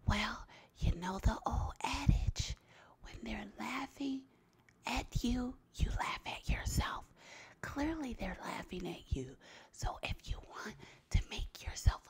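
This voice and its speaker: A woman from Los Angeles gives playful, no-nonsense advice in a soft ASMR whisper. Her hushed voice is very breathy and husky, with a gravelly fry. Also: fairly high pitch, expressive, takes it slowly. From Los Angeles, USA. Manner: sassy, blunt, playful. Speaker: advice giver.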